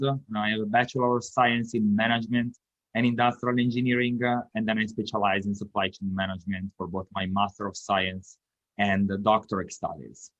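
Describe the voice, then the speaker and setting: A man's voice: medium pitch, fairly bright tone, lo-fi sound. A man with an Italian accent, answering podcast interview questions in a careful explanatory way, with frequent "uh" fillers. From Italy. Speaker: guest academic.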